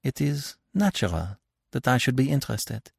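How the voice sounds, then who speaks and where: Young female storybook character played by a male reader, shy and polite, lifted pitch, very lively intonation. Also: moderate pace, gravelly, husky, dry recording, clean studio sound, exaggerated voice. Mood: demure. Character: shy, merry, beautiful young woman. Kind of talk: audiobook character voice.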